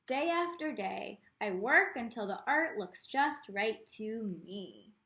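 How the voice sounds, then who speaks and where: A woman reading a picture book aloud to children as an art teacher, expressive, with teaching asides spoken directly to the young listeners. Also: fairly high pitch, slow, vocal fry.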